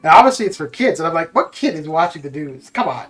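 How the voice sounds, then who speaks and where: A live streamer of middling pitch, chatting casually and often amused at their own remarks. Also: fairly bright tone. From Canada, born in the Caribbean. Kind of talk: casual chat while streaming. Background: music with guitar.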